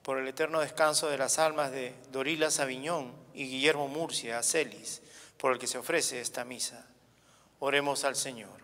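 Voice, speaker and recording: A man's voice: medium pitch, fairly even intonation, moderate pace, bright, forceful tone. A man reading prayer petitions aloud at Mass in a formal style. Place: large church, indoors. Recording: reverberant church hall.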